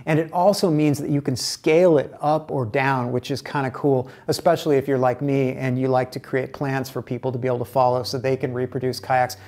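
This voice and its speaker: A man in his forties, a kayak builder explaining his building process to the camera in a relaxed, gesturing way, in a bright indoor workshop. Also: medium pitch, a little fast, few pauses. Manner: relaxed, animated hand gestures while explaining.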